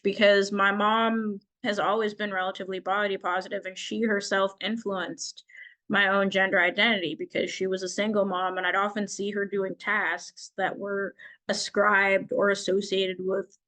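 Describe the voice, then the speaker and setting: A woman's voice: medium pitch, level tone, moderate pace, pushed and bright, rough recording. Woman musing aloud, peppering talk with "like". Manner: frequent "like", meandering and reflective. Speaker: audience participant commenting. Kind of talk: informal spoken remarks at a symposium.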